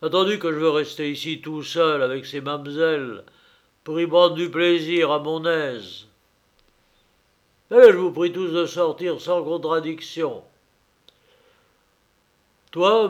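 Storybook bully in a mask: loud, jeering, commanding, read by a man. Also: on the high side, voice pushed up. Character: rowdy drunk masked man, bossy and mocking.

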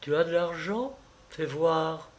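A man reading aloud and voicing a capricious, tearful young woman who coaxes her lover for money, in a slow storybook reading. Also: on the high side, voice pushed up. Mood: wheedling. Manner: pleading, wheedling, sobbing.

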